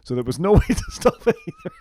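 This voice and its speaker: A British man co-hosting a casual tech podcast, going through a list of features and giving quick, opinionated takes. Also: high voice, wildly swooping pitch, warm tone, slight background noise. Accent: British English. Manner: fast-talking, opinionated, goes down the list item by item. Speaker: podcast co-host.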